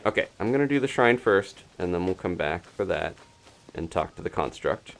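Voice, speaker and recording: Low-voiced man streaming a game. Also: expressive, moderate pace, gravelly, a little hiss or hum. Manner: rambling, thinking aloud. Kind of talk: live gameplay commentary with casual chat.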